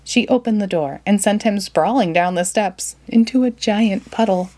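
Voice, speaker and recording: A woman storyteller reading a children's storybook aloud in an expressive manner. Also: mid-range voice, moderate pace.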